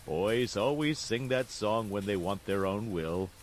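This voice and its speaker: A man voicing a kindly old woodcarver father who talks slowly and calmly, gently lecturing in a storybook reading. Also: on the low side, a little hiss or hum, close to an everyday voice. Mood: stern. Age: older. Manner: slow, calm, gently lecturing.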